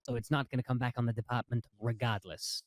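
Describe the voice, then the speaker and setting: A man in a roleplay meeting, playing an ex-prosecutor who lays out legal advice in a measured, lecturing way with frequent restarts. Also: medium pitch, fairly even intonation. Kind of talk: in-character roleplay meeting talk.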